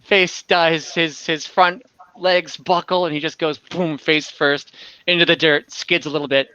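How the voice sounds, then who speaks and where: Bright-voiced man running a tabletop game. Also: a little high, flat delivery, smooth, no echo, muffled recording. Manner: halting, conversational, often repeats himself. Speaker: dungeon master. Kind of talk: improvised narration and rulings in an online tabletop game.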